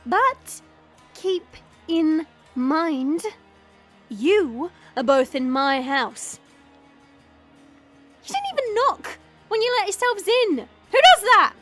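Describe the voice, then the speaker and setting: A brash young demon woman, played by a woman with an extremely high, swooping voice. She drawls sarcastically and theatrically, playful with danger underneath, in an audio drama. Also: big pitch swings, slow pace, pauses often, a bit bright, soft background music, heavily acted. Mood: mock annoyance.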